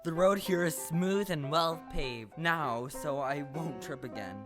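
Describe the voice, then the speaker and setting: A woman's voice: sits low, a little slow, music in the background. A woman playing a kindly, simple, cheerful scarecrow in an audio play.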